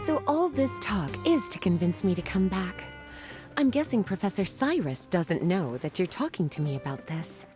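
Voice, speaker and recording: A cool, unhurried librarian, teasing lightly with a lilting, lively voice. Soft game music plays under her lines. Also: fairly low pitch. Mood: knowing. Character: calm, teasing female librarian and mage.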